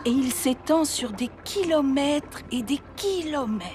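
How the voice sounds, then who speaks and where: A woman's voice: sits high, animated, measured pace, darkish tone. A woman playing a friendly, patient adult guide in a children's cartoon, explaining things simply. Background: cartoon music.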